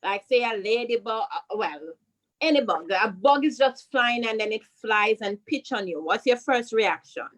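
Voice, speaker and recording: A woman's voice: on the high side, lively intonation, moderate pace, fairly bright tone. A woman, a school principal leading a question-and-answer chat with children, prompting them and repeating questions and answers back.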